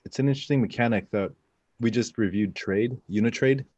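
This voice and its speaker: A man in his thirties hosting an interview over a video call, relaxed and thinking aloud through earphones. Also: mid-range voice, a little fast, no room sound. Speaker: host. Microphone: earphones with cable mic, video call. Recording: dull, dry video call audio.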